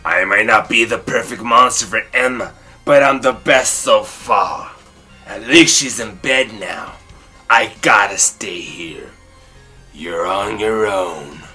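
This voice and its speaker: A woman's voice: rumbling low, slow, pushed and bright, slightly rough, faint music behind, voice pushed down, full character voice. A woman voicing a grumpy, bossy male monster with a growly, hissing voice for a children's storybook. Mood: gruff, grudging.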